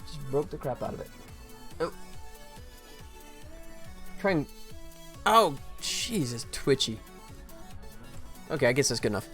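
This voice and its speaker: A man talks casually through his work on a game development stream. His pitch is average for a man, and he thinks aloud in an informal way. Faint music plays behind him. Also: sing-song, measured pace, pauses often, a bit bright. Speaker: indie game developer, livestream host. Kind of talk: casual work-along commentary.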